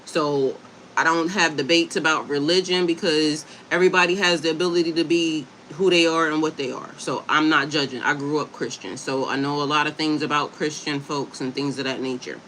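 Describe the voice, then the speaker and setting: A woman from Delaware hosts a relaxed live stream, chatting with her viewers and reading aloud from a website. She stumbles over difficult terms and spells them out letter by letter. Her voice is bright and forceful, with a faint hiss of noise behind it. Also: sits low, brisk, low-quality recording. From Delaware, USA. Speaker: livestream host and herbalist. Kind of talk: casual livestream chat, reading aloud from a website.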